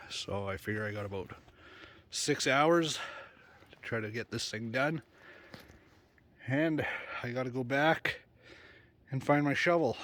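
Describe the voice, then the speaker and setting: A man with a stuffy, congested voice from a cold, chatting casually and rambling in a vlog about his camping gear and plans. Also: medium pitch. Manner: relaxed, rambling, with many fillers and restarts. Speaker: bushcraft vlogger. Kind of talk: casual outdoor vlog talk.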